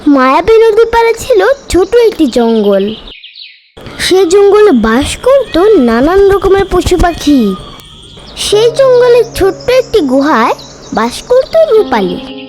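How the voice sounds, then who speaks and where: Narrator: woman, children's storytelling, extremely high animated voice, music underneath. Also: unhurried. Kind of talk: scripted children's cartoon storytelling.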